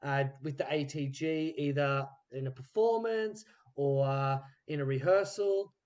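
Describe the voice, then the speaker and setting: A man's voice: on the high side, unhurried, a little mellow, dull audio. A man hosting a podcast interview in a casual, chatty style, asking questions.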